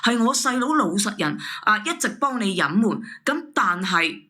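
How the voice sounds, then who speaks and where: A woman caller with a Hong Kong Cantonese accent presses her complaint in a sharp, bright voice at a medium pitch. She is indignant and insistent, arguing heatedly and accusingly. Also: big pitch swings, normal speed. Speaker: caller airing a family dispute. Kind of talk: heated argument.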